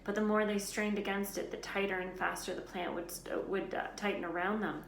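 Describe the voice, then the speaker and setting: A woman reading a children's novel aloud as an expressive storyteller. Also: mid-range voice, brisk, vocal fry. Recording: roomy echo, faint background noise.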